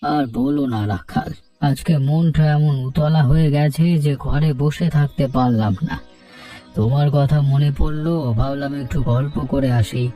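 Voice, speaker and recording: Man acting a mellow, jovial village friend. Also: a little high, steady tone, moderate pace, soft background music, natural voice. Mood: restless, friendly. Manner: chatty, familiar. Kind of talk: cartoon story dialogue.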